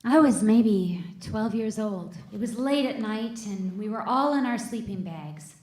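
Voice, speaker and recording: A woman's voice: medium pitch, expressive, measured pace, a little mellow, close to an everyday voice. A woman acting an uneasy cartoon woman who tells of a creepy sighting, on a stage microphone. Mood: spooked, hushed. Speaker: actor at a table read. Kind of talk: live comedy script read. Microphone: stage microphone on stand. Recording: roomy stage microphone before an audience.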